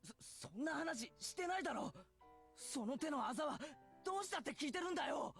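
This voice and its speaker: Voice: high-pitched, animated, rapid-fire, gravelly, a bit bright, faint music behind, exaggerated voice. An actor voicing an earnest, hot-tempered schoolboy in a visual novel game. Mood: upset, urgent. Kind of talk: Japanese visual novel voice acting.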